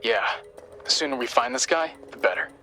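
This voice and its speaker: Young hacker sidekick, mid pitch, wry n chatty, game scene with music under it. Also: male voice, lively intonation, fairly quick, pushed and bright. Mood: businesslike. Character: young adult male hacker ally, wry, sharp, probing. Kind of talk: dramatic game cutscene acting. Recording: music behind the voice.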